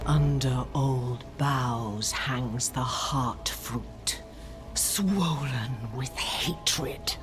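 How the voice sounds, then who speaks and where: An actress playing a sinister woman solemnly intoning a menacing curse in a dark fantasy game. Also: rumbling low, extremely slow, faint music behind, heavily acted. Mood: menacing incantation.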